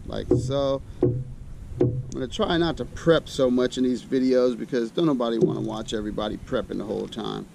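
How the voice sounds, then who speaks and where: Loud outdoor ambience with an engine-like rumble sits behind a middle-aged American man. His voice is medium in pitch. He talks casually and colloquially, thinking out loud as he hosts a cooking demonstration. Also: fairly even intonation, a little fast, gravelly. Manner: folksy, colloquial, thinking aloud. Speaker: host of an outdoor cooking channel. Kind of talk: casual chat while demonstrating camp cooking.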